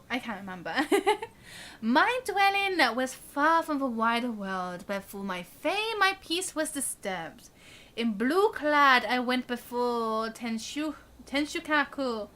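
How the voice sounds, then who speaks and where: Woman streamer, high bright voice, unhurried, reading game text aloud, casual.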